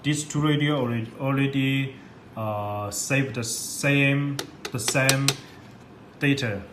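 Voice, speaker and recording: A man demonstrating a product in a step-by-step tutorial, speaking halting, repetitive non-native English. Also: mid-range voice. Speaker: product demonstrator for a radio seller. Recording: echoey room, loud background noise.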